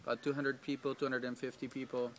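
A man's voice: medium pitch, flat delivery, quick pace, slight background noise. A man in his thirties answering interview questions outdoors in a garden, hesitant, with many ums and restarts. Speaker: field staff.

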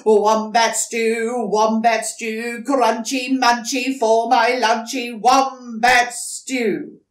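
A woman's voice: a little high, flat delivery, extremely slow, roomy sound, voice pushed up. A woman voicing a sly, boastful male dingo in a storybook, exuberant and sing-song.

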